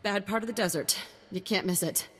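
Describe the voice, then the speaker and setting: A woman's voice: medium pitch, fairly quick, a bit bright, breathy edge, in a large hall. A woman playing an uneasy, frank young dancer in a video game, a little hesitant. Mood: nervous.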